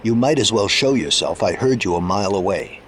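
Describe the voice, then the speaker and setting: A man's voice: on the low side, lively intonation, brisk, a bit bright, a little hiss or hum. A man playing a middle-aged engineer and former sidekick in an audio drama, world-weary and bitter, with a casual, wry delivery.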